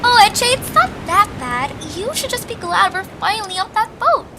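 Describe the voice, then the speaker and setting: A woman voicing a sweet, mild young female creature, gently optimistic and a little timid, in an amateur audio drama. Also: very high-pitched, very animated, moderate pace, background noise, heavily acted. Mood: encouraging.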